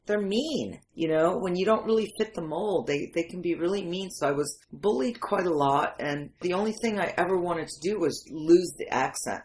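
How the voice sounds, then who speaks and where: A woman's voice: low-pitched, a little mellow. A woman in her fifties with an American accent, originally from Syria, talking candidly and emphatically as an interview guest. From Syria, then Detroit area in the United States. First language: not English. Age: 50s. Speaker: interview guest, memoir writer. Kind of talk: conversational personal storytelling.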